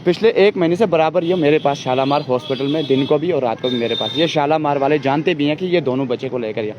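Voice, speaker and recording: A man's voice: a little high, a little hiss or hum. A man and father pleading urgently outdoors about his sick baby's treatment, in a news interview. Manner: urgent, pleading, rapid complaints. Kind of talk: distressed street interview. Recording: dull lo-fi outdoor news audio.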